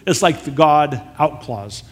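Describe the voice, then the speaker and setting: A man, a missionary, telling a story in a sermon with animated, self-deprecating humor. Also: a little high, measured pace. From United States. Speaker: missionary speaker. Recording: long hall reverb.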